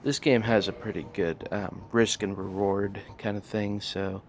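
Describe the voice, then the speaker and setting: A man hosting a casual let's play, talking in a monotone and rambling with many fillers. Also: on the low side, measured pace, slight background noise. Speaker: gaming channel host.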